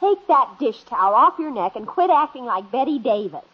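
An indignant American teenage girl in a vintage radio sitcom. Her voice is high and swoops dramatically, heard through a dull old broadcast recording. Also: sing-song, moderate pace, slightly rough, exaggerated voice. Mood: scornful. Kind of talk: 1950s radio comedy acting.

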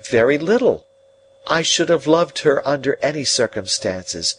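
Man playing an earnest young gentleman. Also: on the high side, expressive, slight background noise, voice pushed up, rough recording. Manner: earnest, measured pleading.

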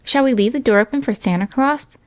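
A woman's voice: a little high, brisk, dry recording, voice pushed up, natural voice. A woman voicing an eager, impulsive and slightly timid young farm boy in a storybook reading. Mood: anxious, hopeful. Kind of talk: storybook character voice.

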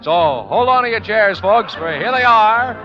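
High-voiced, rousing man, vintage radio announcer. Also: animated, moderate pace. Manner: rousing, grand. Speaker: show announcer. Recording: muffled 1940s radio with loud music.

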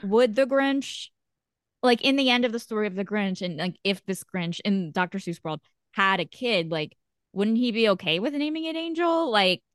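Woman podcast co-host, rambling and animated, mid-pitched, recorded dry. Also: normal speed, smooth, dry recording. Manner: rambling, animated, lots of fillers. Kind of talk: casual chat.

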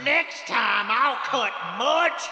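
High, shrill gloating demon. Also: male voice, expressive, very slow, sharp tone, full character voice. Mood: defiant fury. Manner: pompous, cackling, theatrical threats. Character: gloating, evil male demon swordsman ruling a highway of corpses. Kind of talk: horror-comedy audio theatre acting. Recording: echoey, reverberant hall effect.

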